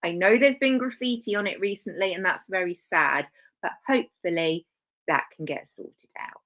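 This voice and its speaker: A woman's voice: on the low side, moderate pace, a bit bright. Sarcastic woman hosting a live stream. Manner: sarcastic, dry asides; rambling, self-correcting. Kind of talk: casual live-stream commentary.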